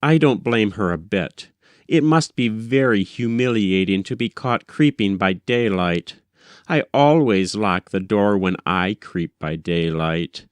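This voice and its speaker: A man reading a first-person short story as scripted literary narration, with measured, deliberate delivery. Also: fairly low pitch, clear, resonant tone, dry recording. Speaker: audiobook reader.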